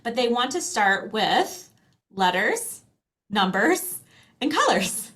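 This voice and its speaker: A woman's voice: fairly high pitch, sing-song, slow, a bit bright. Woman explaining conversationally as interview guest. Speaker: interview guest, company founder.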